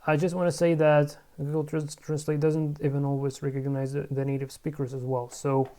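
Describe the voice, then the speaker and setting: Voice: mid-range voice, brisk. A language learner in a casual vlog, repeating words over and over, correcting themself and muttering. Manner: muttering to self, bursts of excitement. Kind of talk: casual pronunciation practice vlog.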